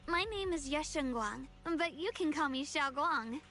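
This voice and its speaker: High-voiced, kind young female disciple. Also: expressive, moderate pace, pushed and bright, faint music behind, heavily acted. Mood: reassuring, kind. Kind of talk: video game voice acting.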